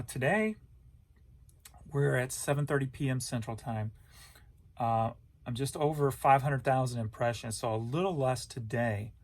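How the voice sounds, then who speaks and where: An American man giving a casual, rambling vlog update as an online reseller, talking informally and thinking aloud in a folksy way. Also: medium pitch.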